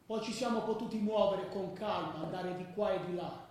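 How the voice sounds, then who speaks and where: Actor as a high-pitched rowdy football ultra. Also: male voice, moderate pace, a little mellow, lightly acted. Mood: excited recounting. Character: rowdy male football ultra recalling the gang's mayhem. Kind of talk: radio drama ensemble storytelling, colloquial. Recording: very echoey, distant from the mic.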